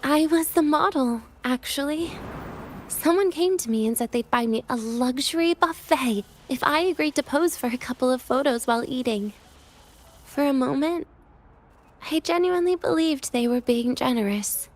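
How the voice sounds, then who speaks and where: Aloof young game heroine; deadpan, wryly understated, some noise behind her. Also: sits high, moderate pace. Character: aloof, dry-humored young female student, wryly understated. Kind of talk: English video game voice acting.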